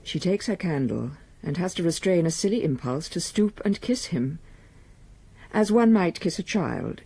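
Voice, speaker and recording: A man with a husky, mellow voice, narrating a literary radio drama. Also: on the high side, no echo.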